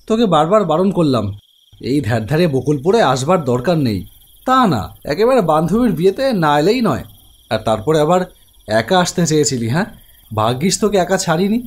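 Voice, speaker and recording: A young man voicing a protective elder brother who also narrates the animated ghost story, brisk, teasing and reassuring. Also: on the high side. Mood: scolding.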